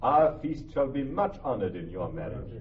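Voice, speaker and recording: An actor playing a loyal, ardent young Venetian gentleman in classical radio drama, with formal, impassioned verse. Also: medium pitch, big pitch swings, normal speed, lightly acted. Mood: cordial. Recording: dull vintage radio recording, music behind.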